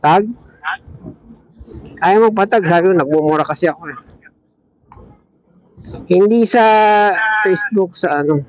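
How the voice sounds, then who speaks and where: A man's voice: sits high, measured pace, long pauses, muffled recording, slight background noise. A man with a Filipino accent in casual banter, talking into a helmet intercom headset as a vlogger.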